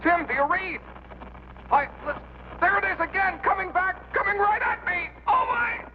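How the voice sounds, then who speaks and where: An actor playing a panicked pilot calling in by radio with frantic exclamations in a 1950s drama, on a muffled vintage recording. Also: male voice, very high-pitched, expressive, normal speed, a little hiss or hum. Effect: radio transmission. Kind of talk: 1950s television drama acting.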